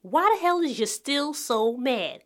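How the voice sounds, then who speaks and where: A woman's voice: high-pitched, huge pitch swings, fairly quick. A woman playing a brash, confrontational audience member with plenty of attitude in a comedy skit. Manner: confrontational, foul-mouthed.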